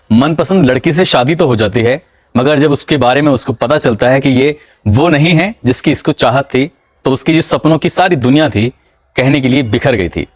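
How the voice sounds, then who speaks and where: A man's voice: medium pitch, dry recording. Hindi man narrating true crime, dry.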